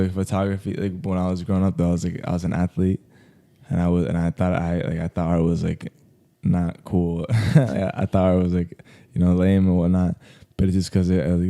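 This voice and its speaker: Deep, gravelly laid-back young man. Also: dark tone. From Colombia, raised in South Florida. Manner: laid-back, heavy use of "like" fillers, slangy. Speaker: photographer guest. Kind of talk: casual podcast interview. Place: podcast studio.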